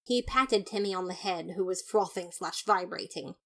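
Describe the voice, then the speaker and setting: A woman's voice: lowish voice, normal speed, a bit bright. A woman reading first-person fan fiction as a dramatized, emphatic narration. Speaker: podfic reader.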